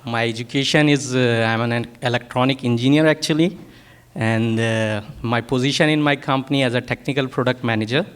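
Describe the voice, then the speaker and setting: A man's voice: mid-range voice, fairly even intonation, a little fast, clear, resonant tone. A man from Pakistan, a native Urdu speaker with a Pakistani accent, gives a technical workshop presentation as a product manager, often hesitating and searching for words.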